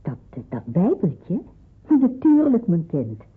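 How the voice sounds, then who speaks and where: A kindly, discreet woman of the war years in a radio play. Her voice is heavily breathy and husky, with a hushed, hesitant delivery. The old recording is thin and muffled. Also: fairly low pitch, huge pitch swings, slow, exaggerated voice. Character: motherly, discreet married woman sheltering people in wartime. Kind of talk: radio drama acting.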